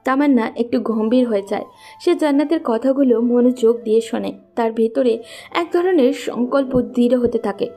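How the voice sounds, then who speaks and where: A woman's voice: fairly high pitch, normal speed, mellow. A woman narrating a scripted romantic story in a storyteller's manner. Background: faint background music.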